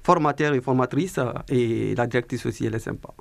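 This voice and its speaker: A young man in his twenties from Guinea answers a radio interviewer. He speaks slowly and carefully, pausing to find his words. His voice sits at a middle pitch, and the recording is dry and close. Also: vocal fry, no echo. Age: 20s. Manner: slow, careful speech with hesitations. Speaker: interview guest, apprentice baker.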